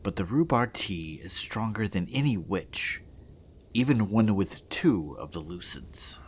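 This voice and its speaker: A man playing an earnest, solemn goblin warrior in a fantasy audio drama, speaking formally and deliberately. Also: on the low side, animated, a little hiss or hum. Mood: earnest, resigned. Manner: formal, deliberate, heartfelt declarations.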